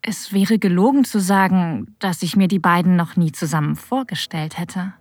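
A woman playing a flirtatious, adventurous narrator of an erotic audio drama, with a breathy voice that often drops toward a whisper. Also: lowish voice, normal speed, darkish tone, dry recording, natural voice. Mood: intimate, anticipating. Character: flirtatious, adventurous woman telling her own erotic story. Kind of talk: intimate first-person scripted narration.

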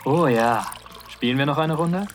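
A man playing a playful, flirtatious best friend in an erotic audio drama, with a husky voice. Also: on the high side, normal speed, faint music behind, natural voice. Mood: playful, teasing.

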